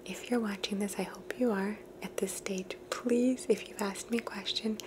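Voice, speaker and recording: A woman whispers softly and without voice, drifting through her thoughts in a slow, reflective way. She is hosting an ASMR video, and her voice sits at a middle pitch. A constant hiss runs underneath her words. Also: fairly even intonation. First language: English. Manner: soft unvoiced whisper, musing and thoughtful. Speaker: ASMR host.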